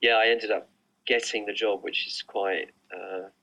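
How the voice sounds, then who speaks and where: A man's voice: lowish voice, steady tone, unhurried, sharp tone, rough recording. A middle-aged man, an artist, answering interview questions online in a casual, hesitant way with many fillers and restarts. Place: remote online recording.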